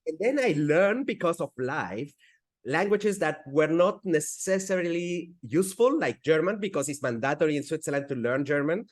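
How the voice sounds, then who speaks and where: Man, Spanish accent, forties, halting, frequent restarts, slightly high voice. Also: animated. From Peru. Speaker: guest entrepreneur. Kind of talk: conversational interview.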